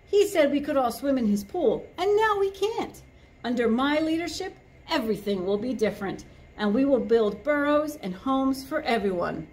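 Woman doing a feisty high-pitched monkey. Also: lively intonation, normal speed, a little mellow, low-quality recording. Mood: indignant. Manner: high, agitated protest speech. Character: feisty indignant male monkey campaigner.

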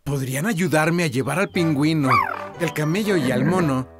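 An actor voicing a friendly adult zoo keeper in a children's cartoon, polite and animated. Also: mid-range voice, moderate pace, over loud music, close to an everyday voice.

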